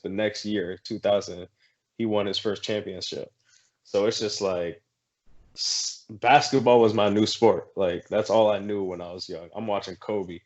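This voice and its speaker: Deep-ish voiced guy from haiti, kinda halting and emotional, dull lo-fi sound. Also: darkish tone. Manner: emotional, halting, searching for words. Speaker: podcast guest. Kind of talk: casual chat.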